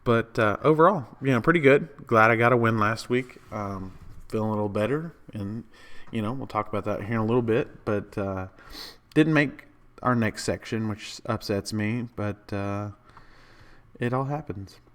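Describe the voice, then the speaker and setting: Man, hoarse from a cold, lowish pitch, relaxed podcast chat, lots of ums. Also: moderate pace. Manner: easygoing, lots of fillers. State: hoarse, sick. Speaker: podcast co-host. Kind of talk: casual chat.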